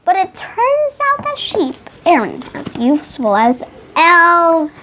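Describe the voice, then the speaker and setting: A high, youthful voice reading a picture book aloud expressively, with playful exclamations and chatty asides. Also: huge pitch swings, slow, pushed and bright, slight background noise. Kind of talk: animated read-aloud storytelling with chatty asides.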